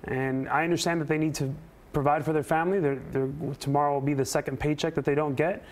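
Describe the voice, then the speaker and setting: A man speaking as a union representative in a news interview, earnest and emphatic, with deliberate phrasing. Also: fairly high pitch, fairly even intonation. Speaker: air traffic controller and union representative, interview guest. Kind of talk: live news interview answers.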